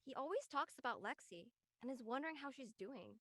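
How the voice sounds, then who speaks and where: Actress for young female rule-follower, drama, earnest and literal, bright tone, dry close sound. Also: high voice, expressive, brisk, no room sound, full character voice. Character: earnest, rule-abiding young woman student. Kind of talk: acted dialogue in an audio-led play.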